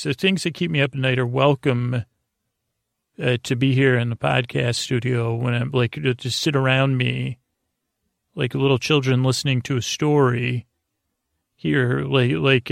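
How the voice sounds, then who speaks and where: Creaky-voiced man, soothing rambling bedtime host. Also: medium pitch, no echo, clean studio sound. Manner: soothing, lulling, friendly; meandering, halting, with stammers and trailing tangents. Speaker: podcast host. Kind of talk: rambling bedtime sleep podcast monologue.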